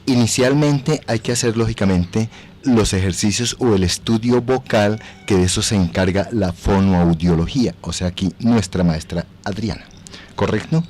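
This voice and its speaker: A man's voice: on the low side, normal speed, a little mellow. A Colombian man explaining things as a radio guest in a formal, courteous, teacherly way. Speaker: actor, voice trainer, guest. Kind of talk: explanatory interview talk. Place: radio station booth.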